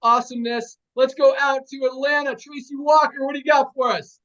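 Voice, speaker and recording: A man's voice: very high-pitched, steady tone, fairly quick, fairly bright tone, highs cut off. A man hosting a video call, upbeat and emphatic as he hands off to the next speaker. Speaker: call host.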